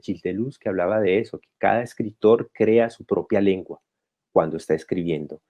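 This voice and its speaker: A man from Colombia speaking as a guest writer, talking reflectively and pausing to restart his thoughts, recorded over a video call. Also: sits low, lo-fi sound. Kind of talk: conversational talk.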